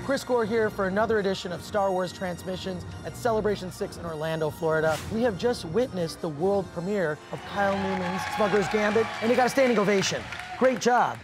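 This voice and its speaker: A man's voice: high-pitched, a little fast, music in the background. A man working as a show host, interviewing guests in an upbeat style.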